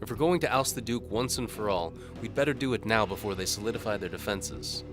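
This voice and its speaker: A man's voice: fairly low pitch, fairly quick, over music, voice pushed up, lightly acted. A man voicing a blunt, scornful townsman who leads rebels in an audio drama. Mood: impatient. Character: gruff, scornful male townsman leading a rebellion.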